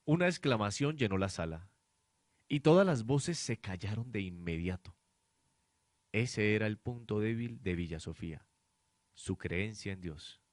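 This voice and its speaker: A man's voice: fairly low pitch, expressive, measured pace, no echo, clean studio sound. A man narrating a radio drama in a scripted, dramatic storytelling style.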